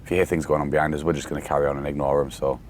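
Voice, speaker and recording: A man from the UK chatting casually on a podcast, rambling with fillers and restarts, his voice worn from shouting. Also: a bass voice, flat delivery, rapid-fire. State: voice going from shouting. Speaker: podcast host, security company boss. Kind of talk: casual chat. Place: outdoors on a festival site during breakdown. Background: faint site noise and vehicles.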